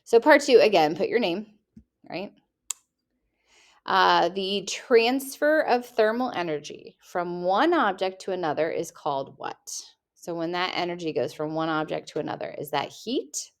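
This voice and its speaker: A woman's voice: on the low side, animated, a little slow. A young woman teacher reading test questions aloud and rephrasing them playfully for her students, recorded at her computer. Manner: reads each question, then rephrases it playfully.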